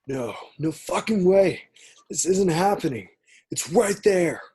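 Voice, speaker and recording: A hot-headed, brave young college man from a horror film, read by a man at a table read. The voice is husky and unpolished, with a bold, defiant manner. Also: high voice, expressive, takes it slowly, a little mellow, heavily acted. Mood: angry disbelief. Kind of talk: amateur screenplay table read.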